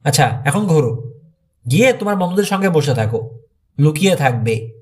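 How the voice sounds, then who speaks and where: A menacing male rancher villain acted out by a man reading an audiobook. He is bossy and sneering, barking threats in a highish voice with very animated intonation. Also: normal speed. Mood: smug, threatening. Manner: hissing, barking threats and orders. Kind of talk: audiobook character voice.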